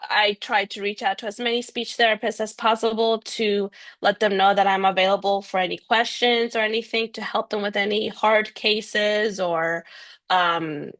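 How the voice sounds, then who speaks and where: A woman's voice: mid-range voice, a bit bright. A young woman, a speech therapist, answering interview questions earnestly with frequent "um"s and "you know"s. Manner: earnest, speaks of stuttering, fillers like um and you know. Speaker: bilingual speech-language pathologist, guest.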